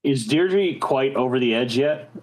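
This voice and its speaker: A man's voice: medium pitch, expressive, measured pace, a little mellow, rough recording. A man talking casually as a player in a tabletop role-playing game.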